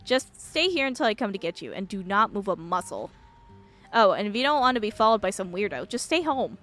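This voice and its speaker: A woman's voice: mid-range voice, big pitch swings, fairly quick, a bit bright, faint music behind. A woman voicing an irritable, scornful female boss of a mine in a video game, scolding and dismissive. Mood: defensive, curt.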